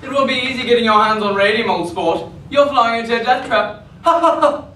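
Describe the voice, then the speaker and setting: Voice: mid-range voice, fairly quick, sharp tone, far from the mic, a little hiss or hum, lightly acted. A young performer playing a smug, breezy male space spy in a mock-British manner, on an echoey stage. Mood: taunting. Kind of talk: stage reader's theatre.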